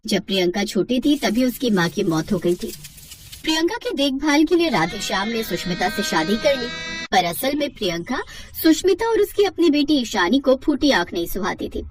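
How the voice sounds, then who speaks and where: A woman's voice: on the high side, big pitch swings, normal speed, a little hiss or hum. A woman narrating a scripted moral story as a storyteller.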